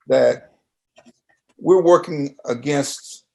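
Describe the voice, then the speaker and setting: A man's voice: mid-range voice, very slow, creaky, mellow. A man interviewing in a loose conversational style, plain-spoken, folksy and probing.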